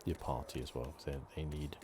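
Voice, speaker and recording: Very deep, soft-spoken man, noisy backdrop. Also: steady tone, fairly quick. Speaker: gaming channel host. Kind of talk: ASMR video game commentary. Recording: clear background noise. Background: game ambience.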